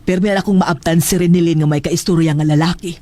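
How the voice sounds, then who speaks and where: A woman's voice: lowish voice, fairly even intonation, quick pace, background noise, close to an everyday voice. A woman playing a concerned, gossiping older confidante in a radio drama, with a Filipino accent. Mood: confiding.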